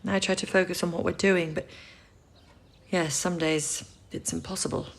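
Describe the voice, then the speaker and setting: An actress playing a kind, practical wartime codebreaker in an audio drama, supportive and gently wry. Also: female voice, lowish voice, fairly even intonation, moderate pace. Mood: kind, reassuring.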